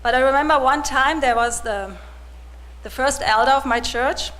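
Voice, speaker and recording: A woman in her forties, from Germany, giving a personal testimony to a congregation in a soft-spoken, hesitant way with many restarts. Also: high-pitched, moderate pace, rough recording. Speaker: church volunteer giving testimony.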